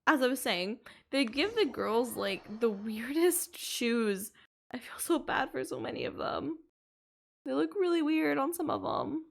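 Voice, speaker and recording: A woman's voice: high-pitched, expressive, measured pace, gravelly. A woman casually chatting to viewers while she plays a video game, exclaiming and making playful little sound effects. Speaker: streamer playing a video game. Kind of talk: casual live gameplay commentary to viewers.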